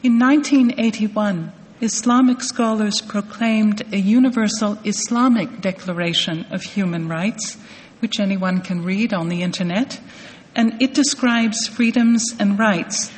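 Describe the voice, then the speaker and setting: A woman's voice: mid-range voice, unhurried. Woman interviewer in a reverberant hall. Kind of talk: formal public interview questions. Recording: echoey hall with long reverb.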